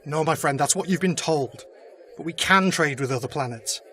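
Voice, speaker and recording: A man's voice: fairly high pitch, normal speed, pushed and bright, a little hiss or hum. A man playing a humble soldier turned leader in an audio drama, solemn and oratorical. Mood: hopeful. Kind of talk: fan audio drama acting, speechmaking.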